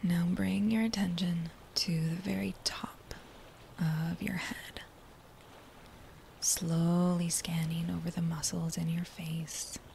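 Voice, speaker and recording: Slow, whispery woman guiding meditation. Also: fairly low pitch. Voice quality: soft, breathy, near-whispered. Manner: gentle, soothing, long unhurried phrases. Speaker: meditation guide. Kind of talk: guided body scan meditation. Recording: faint background noise.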